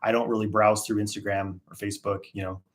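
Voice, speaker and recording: Laid-back man in his thirties, low voice. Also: fast. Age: 30s. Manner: relaxed, loose phrasing with many fillers. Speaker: host. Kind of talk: live Q&A, casual chat.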